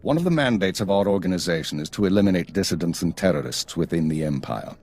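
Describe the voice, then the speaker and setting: An actor playing a stern, weary intelligence chief in a video game, formal, clipped and measured. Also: male voice, deep voice, sing-song, darkish tone, exaggerated voice. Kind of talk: video game cutscene acting.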